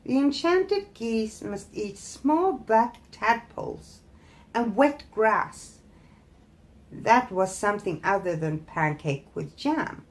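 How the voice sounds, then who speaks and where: A woman storyteller narrating a fairytale in an expressive, deliberate way. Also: a little high. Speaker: storyteller, host.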